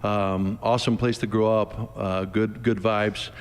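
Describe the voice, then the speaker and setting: A man in his thirties who grew up in Greece, a podcast guest telling lively stories about his travels and his faith, laughing as he goes. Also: lowish voice, unhurried, smooth, big-room sound. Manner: energetic, laughs through stories, faith-driven. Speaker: podcast guest, youth ministry worker. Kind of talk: casual storytelling.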